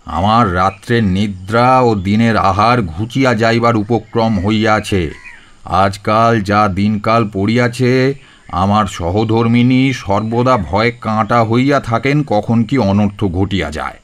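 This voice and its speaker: Audio drama role: male actor as sanctimonious, nagging elder brother, low voice, insistent and self-important. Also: measured pace, close to an everyday voice. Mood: reading aloud gravely. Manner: insistent, scolding, colloquial. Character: pious, pompous, nagging Bengali elder brother, office clerk. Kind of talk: audio story acting.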